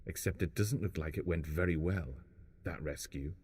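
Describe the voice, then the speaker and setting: A man plays a scornful travelling companion in an audio drama. His voice is very low, and he needles with sarcastic, accusing lines. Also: moderate pace, darkish tone, breathy edge. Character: Hadwin, a scornful, nagging male travelling companion.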